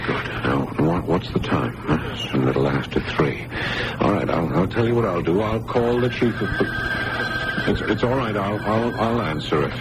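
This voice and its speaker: A man acting in a 1980s radio drama as an earnest doctor who researches the paranormal and gets halting and rattled. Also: sits low, expressive. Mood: grave, concerned. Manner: halting, stammering under pressure. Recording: noisy old radio broadcast recording.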